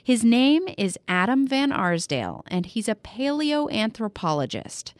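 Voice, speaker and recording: A woman's voice: fairly high pitch, very animated, measured pace, no room sound. A woman hosting a podcast for children, narrating in an upbeat, playful way. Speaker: podcast host.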